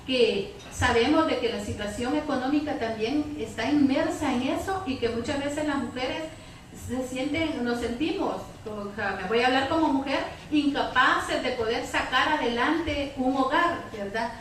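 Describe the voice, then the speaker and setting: A woman's voice: sits high, normal speed, fairly bright tone. A woman speaks from far off in a very reverberant space with faint noise. She is a panelist at a public debate, talking conversationally and checking in with tag questions. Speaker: women's organization activist, panelist. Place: public debate hall with audience. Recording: very echoey hall, distant mic, faint noise.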